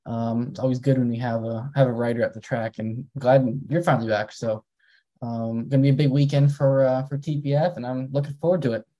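A young man co-hosting a motorsports podcast in a relaxed, casual way, often using "um" and "like". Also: medium pitch, a little fast, rough recording. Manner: relaxed, many fillers like "um" and "like". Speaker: podcast co-host and writer.

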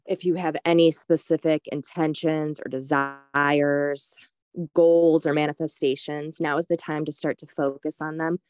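A woman leading a guided meditation in a soothing, unhurried, gently instructive way. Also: low-pitched, little pitch movement, clear, resonant tone, no room sound.

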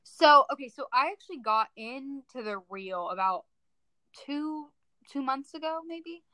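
A woman's voice: sits high, lively intonation, a little slow, bright, forceful tone, dry recording, low-quality recording. A teenage girl co-hosting a podcast, chatting casually and earnestly, a little self-conscious. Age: teens. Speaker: podcast co-host. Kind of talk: casual chat.

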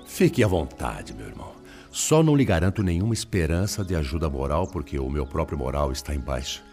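A man's voice: extremely low pitch, very animated, a little mellow, faint music behind, full character voice. An actor with a Brazilian accent playing a sorrowful male spirit, once a principled lawyer, in formal, eloquent radio-drama style. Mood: weary, consoling. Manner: formal, eloquent, sorrowful, halting when troubled.

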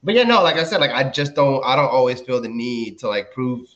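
A man's voice: mid-range voice, a little fast, muffled recording, a crowd in the background. A man in his thirties from the DC area, a guest comedian chatting casually on a podcast, laid-back and rambling with many fillers and restarts. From DMV area, US. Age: 30s.

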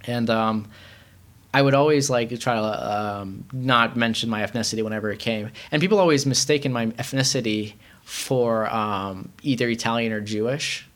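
Young man from Iran, loose rambling chat, lots of "like" and "um", mid-pitched. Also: normal speed. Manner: rambling, heavy use of filler words. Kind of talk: casual chat.

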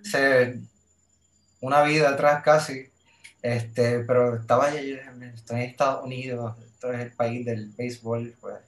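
A man from Puerto Rico with a Puerto Rican accent, a guest in a casual chat who talks hesitantly and pauses to find his words. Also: medium pitch, steady tone. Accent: Puerto Rican Spanish. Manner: hesitant, many fillers and restarts. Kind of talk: casual conversation. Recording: roomy echo.

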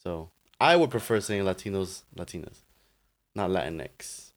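Low-voiced young man bantering. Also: measured pace. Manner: relaxed, laughing, opinionated banter. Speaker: podcast co-host. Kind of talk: casual chat.

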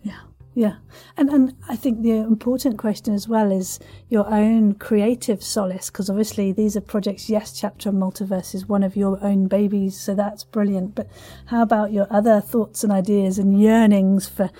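A woman's voice: medium pitch, flat delivery, moderate pace, dark tone. A woman hosting a casual podcast interview, chatty and effusive, with playful turns of phrase.

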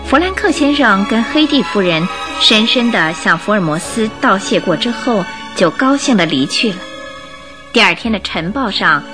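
A woman reading scripted storytelling narration for a Mandarin radio drama. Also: mid-range voice.

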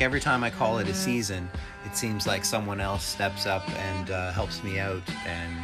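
A man hosting a podcast alone in a casual, rambling, off-the-cuff way. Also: fairly low pitch. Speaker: podcast host. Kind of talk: casual solo podcast monologue. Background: instrumental hip-hop backing music.

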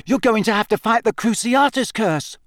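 A man's voice: high-pitched, normal speed, a bit bright, dry recording, studio quality, voice pushed up. A man voicing a timid, frightened teenage boy in a storybook reading. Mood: scared.

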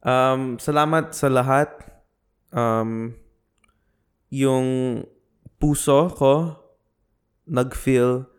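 A man co-hosting a podcast in casual, joking chat, often halting as he searches for his words. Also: mid-range voice, fairly even intonation, smooth. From half Filipino. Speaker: podcast co-host, comedian.

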